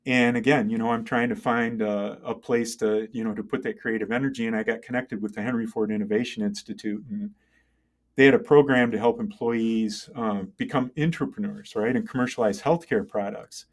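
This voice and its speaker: A middle-aged man speaking as an interview guest, conversational and halting, thinking aloud and often checking in with the listener. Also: fairly low pitch, fairly even intonation. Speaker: interview guest, tech entrepreneur and engineer. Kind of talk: conversational interview, telling career story.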